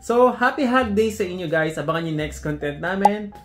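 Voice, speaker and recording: A man's voice: sits high, expressive, normal speed, soft background music. A Filipino man talking casually to viewers in a conversational product review vlog, as a motovlogger. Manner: conversational Taglish, addressing viewers.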